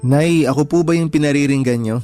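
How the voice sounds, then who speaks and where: A man's voice: on the high side, a little fast, dark tone, lightly acted. A man playing a humble, patient young janitor in a Filipino radio drama, speaking politely and earnestly with a Filipino accent. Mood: polite, defensive. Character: humble, patient young janitor pleading with girlfriend's disapproving mother. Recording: music bed under the voice.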